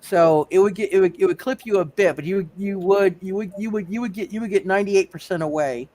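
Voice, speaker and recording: A man running a live tabletop superhero game as game master, thinking aloud in halting phrases and repeating his words. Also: sits high, darkish tone.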